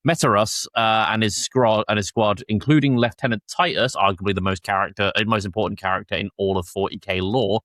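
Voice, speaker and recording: A man's voice: on the low side, steady tone, brisk, few pauses, a bit bright, no room sound, studio quality. A man hosting a podcast, speaking casually as he chats and reads out summaries. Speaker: podcast host. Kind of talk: casual chat and reading episode summaries aloud.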